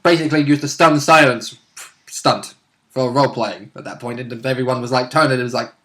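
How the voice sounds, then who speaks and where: A man co-hosting as a player, casually recapping a tabletop game in a giggly, animated storytelling manner. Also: medium pitch, a little fast. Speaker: player and co-host.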